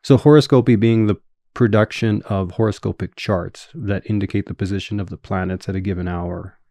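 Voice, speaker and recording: Deep-voiced Canadian man explaining measuredly. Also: fairly even intonation, creaky, dark tone, dry recording. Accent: Canadian English. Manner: measured, explanatory, with frequent fillers. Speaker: guest scholar. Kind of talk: academic interview answers.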